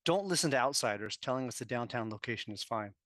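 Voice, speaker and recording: A man reading out a prepared public comment at a council meeting, measured and persuasive. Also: medium pitch, animated, a bit bright.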